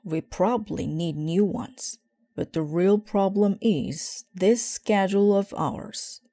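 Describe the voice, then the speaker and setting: A woman plays a reassuring papa bear from a children's storybook. The delivery is reasonable and calm, with a mellow tone and dry sound. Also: mid-range voice, slow pace, no echo, voice pushed up.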